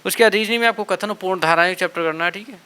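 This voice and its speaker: Bright, higher-voiced male exam coach. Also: rapid-fire, clean tone. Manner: instructive, urging, often adds check-in tags. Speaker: exam coaching instructor and channel host. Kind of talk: informal motivational exam-prep talk to students.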